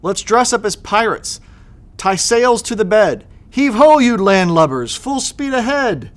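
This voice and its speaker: Man reading as high, eager storybook little girl. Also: expressive, a little slow. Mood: excited. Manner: bouncy rhyming delivery. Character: playful, eager little girl full of imagination. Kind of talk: children's storybook read-aloud.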